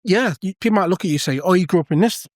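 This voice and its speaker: A man's voice: high voice, racing, no room sound, clean studio sound. A man co-hosting a casual podcast, explaining earnestly with examples and many restarts. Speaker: podcast co-host.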